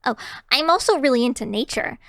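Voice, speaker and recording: A woman's voice: high-pitched, expressive, fairly quick, fairly bright tone, no room sound, clean studio sound. A woman voicing a shy, cheery young kitsune barista in an ASMR roleplay, chatting to the listener in a bashful, hesitant, giggly way. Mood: wistful, rambling.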